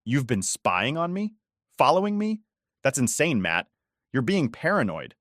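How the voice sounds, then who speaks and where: A man's voice: medium pitch, sing-song, unhurried, fairly bright tone, no room sound, studio quality, pitched above the performer's own voice. A man doing a cornered, pleading wife. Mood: defensive. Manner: tearful, pleading. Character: young adult wife, cornered, defensive then pleading.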